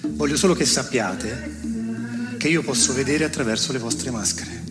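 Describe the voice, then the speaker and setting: A man's voice: medium pitch, very animated, unhurried. Man playing a defiant young accuser, theatrical recitation, declamatory, insistent repetition, long hall reverb, prominent backing music. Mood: defiant, accusing. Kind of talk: theatrical spoken-word recitation.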